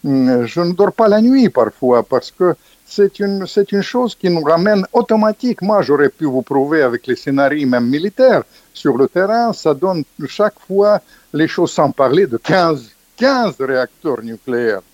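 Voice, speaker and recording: An older man talks in an emphatic, halting way, often restarting his sentences and jumping up in pitch when he stresses a point. The sound is dry and a little dull, with no room around it. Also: big pitch swings, a little mellow, no echo. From Ukrainian background. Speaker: author guest. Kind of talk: interview, political commentary.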